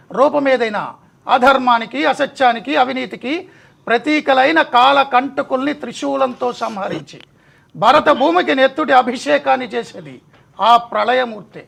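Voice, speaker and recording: A man's voice: very high-pitched, creaky, fairly bright tone. A male TV host delivering a dramatic scripted monologue in short, weighty rhetorical phrases. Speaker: TV show host.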